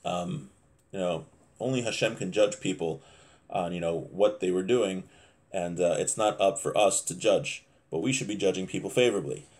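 A man in his thirties giving an informal religious lesson, reading from a text and explaining it haltingly, often rephrasing himself. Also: sits low, darkish tone. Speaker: religious teacher. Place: small home office, indoors.

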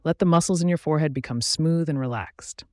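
A man guiding a body scan meditation, reading a script in a slow, soothing, even way with pauses. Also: fairly high pitch, dry recording, studio quality. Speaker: meditation guide.

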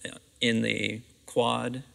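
A man's voice: mid-range voice, flat delivery, takes it slowly, creaky, fairly bright tone. A middle-aged American man answering interview questions in conversation as a theatre director. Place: seated on the stage of a theatre auditorium. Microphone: clip-on lapel microphone. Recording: reverberant empty auditorium.

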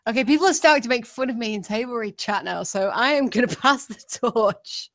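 A woman's voice: a little high, a little fast, dry recording. Casual woman with a dry, close sound. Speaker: table read participant and stand-in host. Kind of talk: casual spoken feedback. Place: remote online voice call, dry sound.

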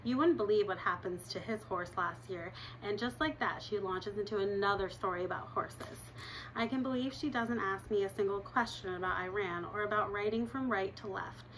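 Woman in her 30s reading a kids chapter book out loud at home, bright voice, chatty little asides, cam mic. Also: mid-range voice, normal speed, a little hiss or hum, low-quality recording. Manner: expressive read-aloud with chatty asides. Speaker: reader. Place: living room at home.

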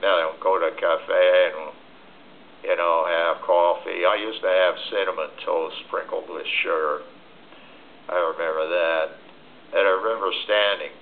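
Slow, low-voiced elderly man rambling. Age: 70s. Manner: halting, hesitant, drifting between thoughts. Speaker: vlogger. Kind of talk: rambling monologue to camera, reminiscing. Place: small room at home. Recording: dull lo-fi sound with faint noise.

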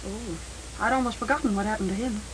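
An actress playing a sweet, playful young American younger sister in a 1930s film, on a hissy, crackly soundtrack. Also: female voice, mid-range voice, quick pace, close to an everyday voice. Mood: friendly, curious. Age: young adult.

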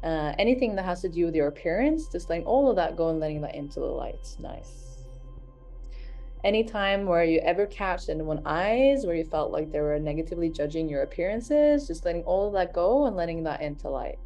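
A woman with a low, mellow voice leads a guided healing session, calm and unhurried. She repeats soothing phrases and murmurs soft little affirmations between them. Gentle music plays faintly behind her.

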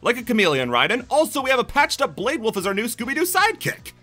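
A man narrates a video game review in a bright, crisp voice that sits a little high. His pitch swings widely as he drops sarcastic jokes between plain explanations. Faint music plays underneath. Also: sing-song, fast talker. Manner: sarcastic, jokey asides.

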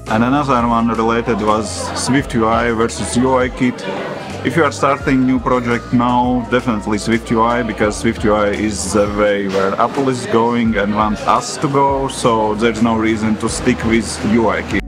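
A man in his forties with a Latvian accent, answering questions casually in a matter-of-fact way with halting non-native phrasing. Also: lowish voice. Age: 40s. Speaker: vlogger and iOS developer answering viewer questions. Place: various travel locations, mostly outdoors, some stone halls and a cafe. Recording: clear ambient noise on location.

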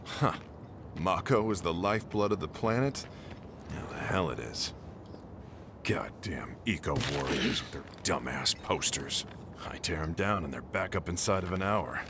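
A man's voice: low-pitched, lively intonation, a little slow, noisy background. An actor playing a grumpy middle-aged male townsman in a video game, grumbling and sneering.

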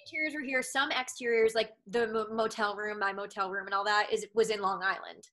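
A young woman from Massachusetts speaks with a Boston accent. Her voice is bright and forward. She rambles in easy chat from home, with a steady stream of "like" and "um". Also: mid-range voice, a little fast. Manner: rambling, heavy use of "like" and "um". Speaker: actress guest. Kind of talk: casual interview chat.

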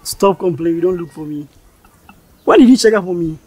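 A man's voice: high voice, lively intonation, brisk, a little mellow. An actor playing an easygoing young man who talks casually with a friend. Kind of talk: scripted skit acting.

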